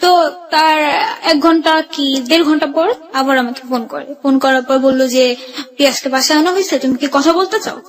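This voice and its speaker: Female guest, Bangladeshi accent, high voice, fast, conversational personal storytelling. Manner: re-enacts remembered conversations as quoted dialogue. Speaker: guest telling own life story.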